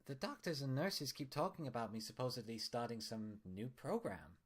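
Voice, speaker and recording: A man's voice: medium pitch, expressive, normal speed, husky, voice pushed up. Storybook mare patient, played by a man: sullen, distrustful, grumbling, with guarded sarcasm. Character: sullen, distrustful female pony patient, sharp-tongued.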